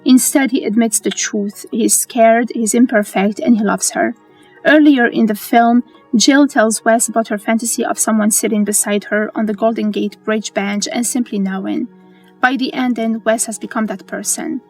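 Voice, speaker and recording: A woman reads scripted explainer narration in a measured, earnest way. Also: a little high, fairly even intonation, darkish tone, rough recording. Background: music.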